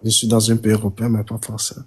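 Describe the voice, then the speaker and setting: A man's voice: on the low side, completely flat delivery, quick pace, a little mellow, slightly rough. A man calling in to a live stream, hesitant and stammering at times as he asks questions. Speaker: caller. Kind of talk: phone-in conversation.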